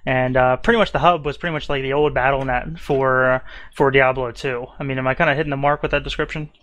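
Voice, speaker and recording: A man's voice: mid-range voice, steady tone, fast, fairly bright tone, no room sound. A man hosting a casual podcast, asking easygoing questions with plenty of fillers. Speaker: podcast host.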